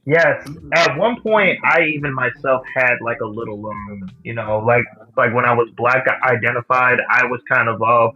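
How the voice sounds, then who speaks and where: A man's voice: medium pitch, normal speed, hardly any pauses. A man in a casual group chat, talking hesitantly with many uhs and ums. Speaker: live stream panelist. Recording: muffled lo-fi audio.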